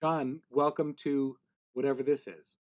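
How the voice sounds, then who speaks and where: A man's voice: medium pitch, little pitch movement, unhurried, darkish tone. A man hosting a podcast interview in a casual style with dry joking.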